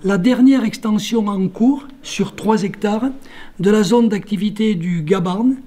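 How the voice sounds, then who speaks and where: A man, a local official, delivering a formal prepared speech in measured institutional phrasing with careful lists. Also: high-pitched, warm tone.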